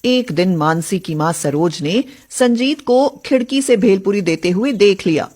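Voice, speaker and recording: A woman's voice: medium pitch, expressive, measured pace, darkish tone. A woman telling a scripted story as its narrator.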